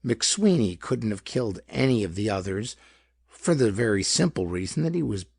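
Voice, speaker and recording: A man's voice: fairly low pitch, big pitch swings, normal speed, vocal fry, a little mellow, husky, no echo, exaggerated voice. A man voicing a chubby, superior male detective in a pulp audiobook, with formal, pedantic, emphatic phrasing. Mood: precise, dismissive.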